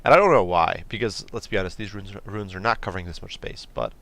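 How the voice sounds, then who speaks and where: A man commentating a strategy game battle in a quick, chatty way, his voice nasal from a cold. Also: low-pitched, expressive, gravelly, a bit bright. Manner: quick, chatty, many fillers and restarts. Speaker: gameplay commentator.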